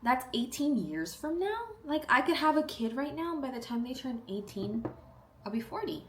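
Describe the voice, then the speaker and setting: A woman's voice: high-pitched, normal speed, slight background noise. Chatty South Texas woman in her twenties. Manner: chatty, upbeat, animated asides and playful quoted voices. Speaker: beauty vlogger. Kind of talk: casual get-ready-with-me chat. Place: indoors at home, doing makeup.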